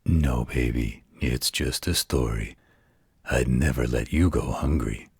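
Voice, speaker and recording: A man voicing a gentle, patient werewolf in a slow, soothing bedtime roleplay, with a husky growl. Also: dry recording, clean studio sound, heavily acted. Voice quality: very deep gravelly husky growl. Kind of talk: intimate bedtime roleplay audio.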